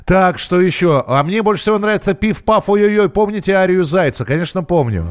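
A man's voice: high-pitched, expressive, a little fast, clear, resonant tone, faint music behind. A man hosting a radio show, chatting casually and reading out messages, thinking aloud as he goes.

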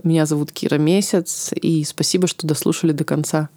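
A woman's voice: low-pitched, steady tone, a little fast, dark tone, no room sound. Tearful woman host, close dry sound. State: voice trembling from tears. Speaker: podcast host. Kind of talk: podcast conversation and sign-off.

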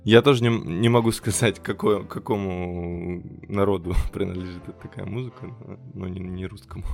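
A man's voice: sits low, steady tone, moderate pace, vocal fry. A man co-hosting a casual discussion, hesitantly searching for his words. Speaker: podcast co-host. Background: music.

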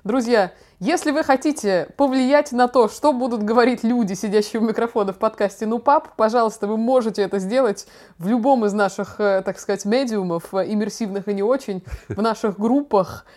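A woman's voice: on the high side, brisk. A young woman co-hosting a casual podcast, chatty and slangy as she tells stories. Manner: chatty, slangy, animated storytelling. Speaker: podcast co-host, daughter talking with her father.

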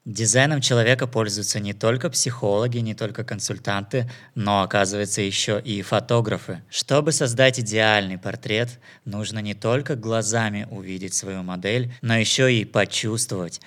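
A man with a somewhat low voice narrates in a smooth, even, scripted way, tying the stories together as the presenter. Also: normal speed, hardly any pauses.

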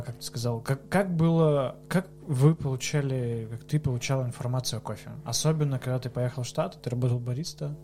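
A man's voice: medium pitch, warm tone, a little hiss or hum. A man hosting a podcast, asking loose, informal questions in a friendly chatty way. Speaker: podcast host. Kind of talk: casual interview questions.

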